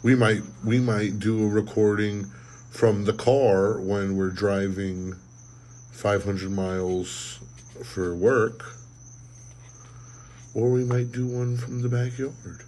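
A man's voice: on the low side, level tone, slow, a little mellow, slight background noise. A man co-hosting a casual podcast, rambling with many fillers and trailing off. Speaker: podcast co-host. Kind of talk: casual chat.

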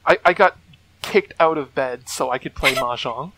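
A man's voice: medium pitch, moderate pace, sharp tone, low-quality recording. A man co-hosting a casual podcast, wry and self-deprecating, talking in a laid-back way. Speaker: podcast co-host.

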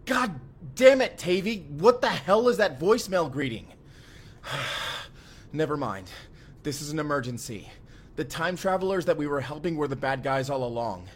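A man's voice: a little high, animated, moderate pace. An actor playing a desperate, foul-mouthed young man on the run in an audio drama. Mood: urgent, exasperated. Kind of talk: first-person immersive audio drama acting.

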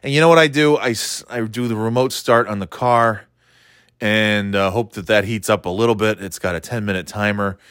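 A man's voice: fairly low pitch, moderate pace, clear, resonant tone, dry recording. Rambling middle-aged man, dry close mic. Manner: meandering, self-interrupting, playfully excitable. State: slightly stuffy nose. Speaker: podcast host. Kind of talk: rambling solo chat.

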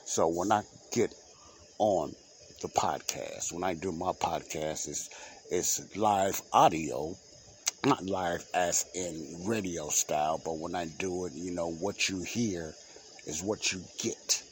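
A man's voice: low voice, takes it slowly, slight background noise. A man hosting his own unedited solo podcast, talking loosely with lots of fillers and repeats, his nose stuffy and congested. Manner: rambling, filler-heavy, repeats himself. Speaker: podcast host. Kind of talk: unscripted solo podcast talk. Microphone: home podcast mic, unedited.

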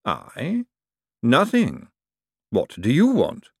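A man voicing an urbane, calmly menacing ruler who speaks in a measured, formal, drily ironic way in an audiobook. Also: medium pitch, creaky, slightly rough, no room sound, clean studio sound, pitched above the performer's own voice, heavily acted. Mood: smooth, dry. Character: urbane, calmly menacing ruling lord, dry and precise.

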